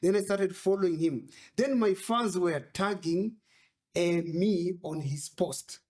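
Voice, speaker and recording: A man talking as a guest biker in a casual interview, telling animated stories in broken English. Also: sits high, takes it slowly.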